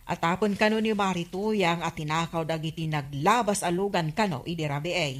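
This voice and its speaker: A woman's voice: lowish voice, fairly quick, close to an everyday voice. An actress with an Ilocano accent playing a sympathetic, gossipy village woman in a radio comedy drama. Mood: concerned, gossipy.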